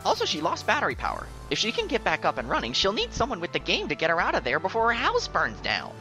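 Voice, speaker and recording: A woman playing an excitable, easily exasperated teenage boy with an animated, emphatic delivery in a webcomic voice drama. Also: fairly low pitch, fast, soft background music, full character voice. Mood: exasperated, urgent. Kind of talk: dubbed webcomic dialogue acting.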